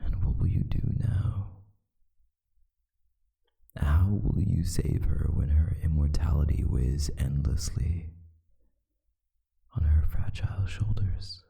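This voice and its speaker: Man as a very deep, whispering vampire lover. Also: dark tone, exaggerated voice. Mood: bitter self-reproach. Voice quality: breathy, whispery, gravelly. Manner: slow, intimate, murmuring with long pauses. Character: brooding, lovesick male vampire boyfriend, tender and remorseful. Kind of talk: whispered ASMR boyfriend roleplay.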